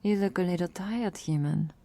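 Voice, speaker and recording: A woman playing a gracious, guarded vampire who speaks formally and softly in an ASMR roleplay. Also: fairly low pitch, normal speed, dry recording, lightly acted. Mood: gracious, teasing. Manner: formal, soft-spoken, gently teasing.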